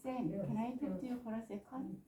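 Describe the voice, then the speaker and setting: A woman's voice: a little high, brisk, dark tone. Woman reciting english, far from the mic, tinny line, echoey. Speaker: adult English learner. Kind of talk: reciting a memorized dialogue. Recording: tinny distant line, noisy.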